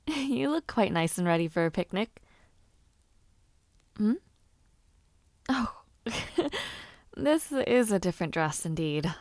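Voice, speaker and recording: A woman's voice: medium pitch, darkish tone, dry recording. Woman acting playful young noblewoman, dry close recording. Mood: cheerful, bashful. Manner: formal old-fashioned phrasing, hesitant ums and sighs. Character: eager, playfully bossy young noblewoman, aspiring knight. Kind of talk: intimate audio roleplay addressed to the listener.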